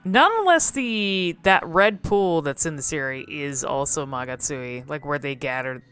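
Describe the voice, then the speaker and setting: Low-voiced woman doing casual game commentary. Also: expressive, measured pace, fairly bright tone, clean tone, faint music behind. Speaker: video host exploring game cutscenes.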